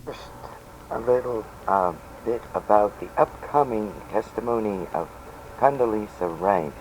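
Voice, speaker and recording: Slow, low-voiced man, noisy tape. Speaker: radio listener introducing a taped broadcast. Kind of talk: halting spoken tape introduction. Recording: noisy home cassette with radio hiss.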